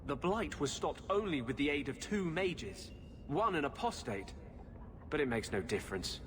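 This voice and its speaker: A man's voice: a little high, very animated, moderate pace, a crowd in the background. A man playing an embittered, idealistic rebel mage in a video game, intense and grave. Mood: bitter, grave. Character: embittered, earnest male rebel mage, brooding. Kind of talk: video game cutscene acting. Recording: clear background ambience noise.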